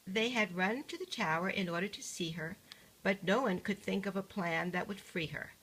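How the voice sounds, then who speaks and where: An older woman reading a rhyming picture book aloud like a grandmother, measured and singsong. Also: medium pitch, a bit bright, breathy edge, low-quality recording.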